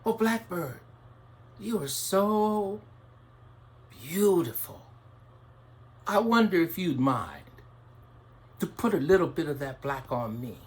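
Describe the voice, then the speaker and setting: A storyteller voicing a gentle gray dove who asks shyly to be noticed, with a hesitant, pleading manner. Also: mid-range voice, animated, slow pace, long pauses. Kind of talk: storytelling with voiced characters.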